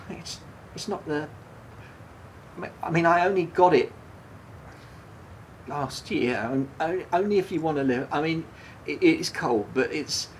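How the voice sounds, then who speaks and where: An actress playing a remorseful, awkward driver weighed down by guilt in a naturalistic radio drama, speaking with hesitant stammers. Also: female voice, deep voice, slight background noise, heavily acted. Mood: nervous, awkward. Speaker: radio play actor.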